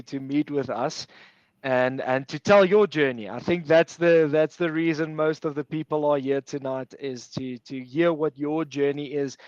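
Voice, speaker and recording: A man's voice: fairly high pitch, no echo, dull audio. A man whose first language is Afrikaans hosts a webinar interview, often repeating words as he goes. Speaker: webinar host.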